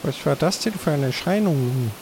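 A man's voice: fairly high pitch, animated, normal speed, noisy background. A man taking part in an improvised adventure audio play with casual dialogue.

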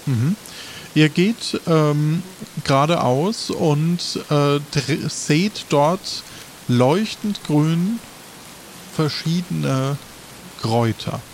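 A man improvising narration as game master of a tabletop game, halting and searching for words. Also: on the high side, lively intonation, slight background noise, poor audio.

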